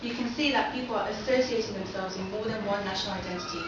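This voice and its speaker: A woman's voice: medium pitch, quick pace. Young woman presenting in an echoey hall. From London. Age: young adult. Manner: poses many questions to the audience. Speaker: seminar presenter. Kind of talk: academic presentation. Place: lecture hall. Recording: echoey hall from far off, dull and noisy.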